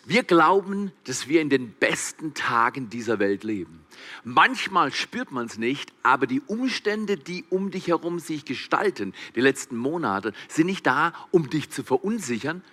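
A man's voice: a little high, big pitch swings, measured pace, sharp tone. A man, the church's pastor, welcoming the congregation and introducing the guest speaker with emphatic, rising enthusiasm. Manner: emphatic, rising to fervent calls. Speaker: pastor hosting the service.

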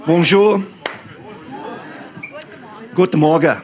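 A man from England, a guest pastor, preaching in German with an English accent, lively and halting with fillers. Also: a little high. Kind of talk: sermon.

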